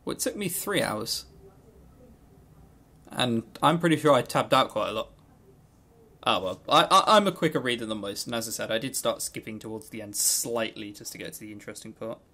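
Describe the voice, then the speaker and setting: A man co-hosting a podcast, chatting casually about games and telling stories in an animated, jokey, sweary way. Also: mid-range voice, fairly quick. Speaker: podcast co-host.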